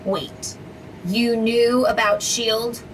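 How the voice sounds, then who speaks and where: A woman voices a sarcastic, sharp-tongued heroine with a slightly high voice. A clear noise bed sits behind her. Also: fairly even intonation, drawn-out words. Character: sharp-tongued young female hero.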